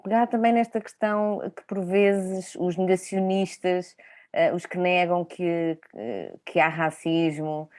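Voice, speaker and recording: A woman's voice: fairly low pitch, darkish tone. A woman with a Portuguese accent speaking reflectively in a panel discussion, searching for words and often adding tag questions.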